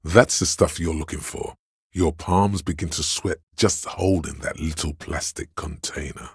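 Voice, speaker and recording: A man voicing a seductive, hedonistic inner voice of craving in a video game, gravelly and husky, coaxing slyly and savouring his words. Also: very deep voice, fairly even intonation, unhurried, no echo, clean studio sound, exaggerated voice. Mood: eager, tempting. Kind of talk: dramatic video game narration.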